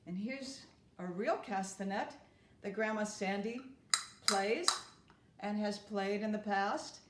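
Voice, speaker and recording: A woman reading a children's storybook aloud as a storyteller, with deliberate, expressive phrasing. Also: medium pitch, heavy background noise. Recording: roomy, echoing room.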